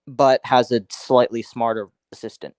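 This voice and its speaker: A man in the middle of the usual pitch range, talking casually on a livestream. He is chatty and opinionated and rambles through his points with restarts. The sound is dry, with no room echo. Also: steady tone, moderate pace, a little mellow. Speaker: livestream host. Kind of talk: casual chat.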